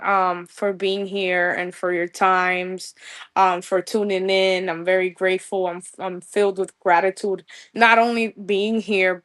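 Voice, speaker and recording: A woman's voice: on the low side, fairly bright tone. Bubbly young New York woman, slangy. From New York, USA. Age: young adult. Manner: bubbly, gushing, mixes in street slang. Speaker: guest musician. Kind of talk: casual podcast interview.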